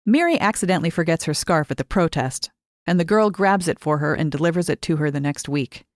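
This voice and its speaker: Low-pitched woman reading fiction, dry sound. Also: lively intonation, a little fast, a little mellow, no echo. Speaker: short fiction reader. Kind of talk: expressive scripted story narration.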